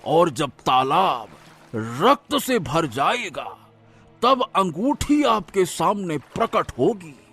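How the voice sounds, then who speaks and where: A man voicing a sly, sinister tantric sorcerer in a cartoon story, with a gravelly, husky voice and a wheedling, drawn-out delivery. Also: high voice, big pitch swings, fairly bright tone, faint music behind, full character voice. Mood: ominous.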